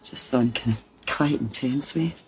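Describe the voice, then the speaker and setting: A woman's voice: extremely low pitch, moderate pace, music in the background, rough recording. An actress playing a harassed, blunt working-class mother with a Scottish accent in a radio crime drama. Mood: earnest, upset. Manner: blunt, emphatic, colloquial.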